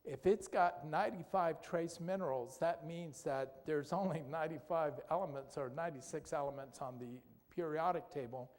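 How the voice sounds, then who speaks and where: Male lecturer, higher-pitched, chatty Q&A style, church hall with long reverb. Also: moderate pace, few pauses, darkish tone. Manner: conversational, asks the audience questions, tag "okay?". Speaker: doctor giving a health talk. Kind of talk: health lecture with audience questions.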